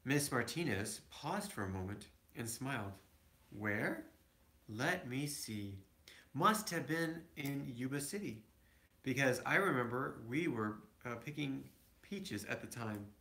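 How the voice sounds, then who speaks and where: A man's voice: mid-range voice, pushed and bright. Echoey man reading a picture book aloud. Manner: halting, with small stumbles and asides to children. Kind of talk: read-aloud storytelling with casual asides. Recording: echoey room, home recording.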